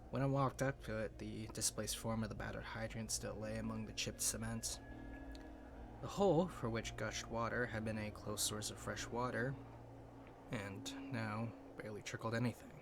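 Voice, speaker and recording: A man playing a weary young survivor narrating a reflective audio log, slow and halting, trailing into breathy murmurs. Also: on the low side, steady tone, noisy background. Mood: somber, wistful. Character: weary young male survivor recording a log in an abandoned city. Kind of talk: dramatic first-person audio log narration.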